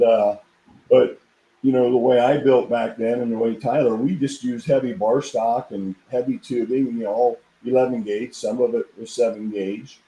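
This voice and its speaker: A hoarse middle-aged man telling stories in a rambling, casual podcast chat. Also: medium pitch, mellow, reverberant, low-quality recording. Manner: rambling, storytelling. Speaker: podcast guest, equipment designer.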